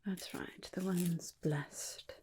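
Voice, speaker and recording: A woman playing a gentle, doting female vampire in a breathy, soothing whispered ASMR personal attention roleplay. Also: on the low side, steady tone. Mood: coaxing, concerned. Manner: soothing, slow, tender, reassuring.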